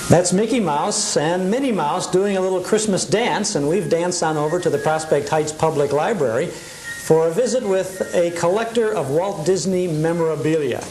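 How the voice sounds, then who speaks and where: A man's voice: high voice, moderate pace, darkish tone, slight background noise, rough recording. A man presenting as the host of a television program, on an older cable TV recording. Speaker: TV show host and interviewer. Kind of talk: scripted ad narration and conversational interview.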